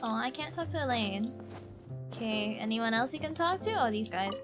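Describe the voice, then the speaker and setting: A woman live streamer chatting casually as she plays a game, playful, expressive and prone to exclamations. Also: fairly high pitch, normal speed. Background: game music.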